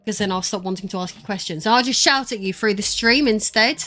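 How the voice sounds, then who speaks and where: A woman in her thirties with an Estuary English accent chats loosely to her stream viewers, full of ums and restarts. Her voice sits in an ordinary mid range. Soft music plays behind her at home. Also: medium pitch, a little fast. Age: 30s. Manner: chatty, rambling, many fillers and restarts. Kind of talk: casual live-stream chat. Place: room at home.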